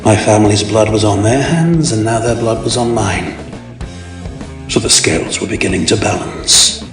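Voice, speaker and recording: A man's voice: fairly low pitch, roomy sound, distant mic, music in the background, lightly acted. A man voicing a proud, brooding, vengeful supervillain who recounts his youth in grave, formal narration for a comic dub. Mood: grim, haunted. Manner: grave, deliberate, formal, theatrical.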